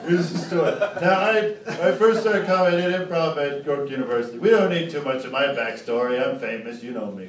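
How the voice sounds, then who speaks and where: A man's voice: a little high, fairly quick, hardly any pauses. A man doing live stand-up storytelling, teasing and sarcastic as he rambles through casual banter. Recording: roomy, distant, very noisy live club recording.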